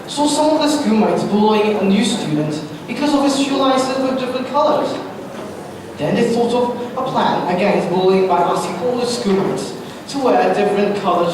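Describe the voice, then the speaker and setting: A woman's voice: medium pitch, lively intonation, normal speed. A woman presenting an event in a scripted discussion on stage. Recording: echoey hall, distant mic, noisy ambience.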